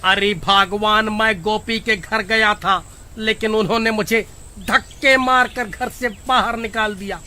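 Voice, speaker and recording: A man voicing an excitable, whiny village man in a horror cartoon. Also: high-pitched, measured pace, full character voice. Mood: worried. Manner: whiny, exclaiming, agitated. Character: panicky, irritable village man, pleading and excitable. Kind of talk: animated story acting. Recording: faint music behind the voice.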